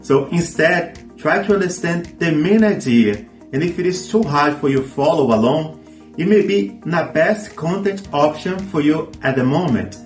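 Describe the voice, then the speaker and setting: A man's voice: on the high side, expressive, moderate pace. A man whose first language is Portuguese, presenting a scripted video lesson with earnest, friendly advice to his viewers. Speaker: language teacher YouTuber. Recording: echoey room with faint music.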